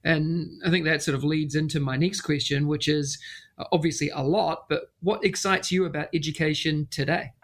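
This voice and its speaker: A man's voice: fairly high pitch, steady tone, normal speed, fairly bright tone. A man with a New Zealand accent hosting a podcast, asking questions in an upbeat, encouraging way. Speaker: podcast host. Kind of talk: interview questions.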